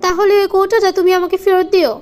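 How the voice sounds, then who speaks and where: A woman's voice: extremely high pitch, flat delivery, fast, darkish tone. A woman with a Bangladeshi accent voicing a reproachful, sulky young girlfriend in a cartoon comedy. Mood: petty, insistent. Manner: reproachful, nagging.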